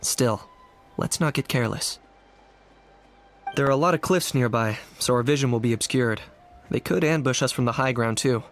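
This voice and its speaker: A man voicing a calm, cautious male teammate in a video game, speaking in a steady, measured way. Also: medium pitch, faint music behind, lightly acted. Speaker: game character.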